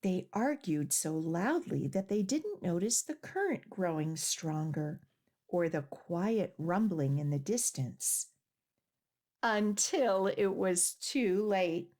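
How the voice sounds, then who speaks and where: A woman hosting a children's storytime, reading aloud and leading rhymes with clear, deliberate phrasing for young listeners. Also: fairly low pitch, expressive, darkish tone. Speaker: children's library storytime host.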